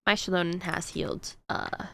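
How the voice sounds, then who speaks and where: A woman's voice: lowish voice, steady tone, moderate pace, creaky, fairly bright tone. A woman streaming a game, chatting casually to her viewers in a rambling way with frequent fillers. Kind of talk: casual chat while gaming.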